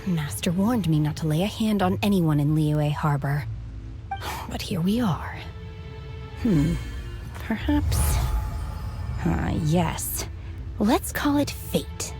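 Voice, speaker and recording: An actress playing an aloof, stern young woman in an anime game, speaking in a cold, measured, detached way. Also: fairly low pitch, animated, over music. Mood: calm menace.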